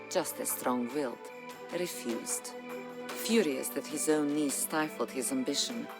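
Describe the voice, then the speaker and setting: An actor narrating a historical game campaign as a solemn storyteller, with grave, measured, dramatic delivery. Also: medium pitch, close to an everyday voice. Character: solemn storyteller chronicling a medieval Bulgarian uprising. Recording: music under the voice. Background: background music.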